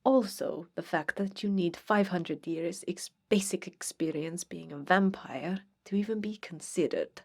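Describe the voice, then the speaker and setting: Woman playing centuries-old female vampire for ASMR roleplay: icy, superior, formal, unhurried, very breathy and whispered. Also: fairly low pitch, darkish tone. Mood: haughty dismissal. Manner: disdainful, formal, archaic phrasing, deliberate. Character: haughty, cold, centuries-old female vampire blood hunter recruiter.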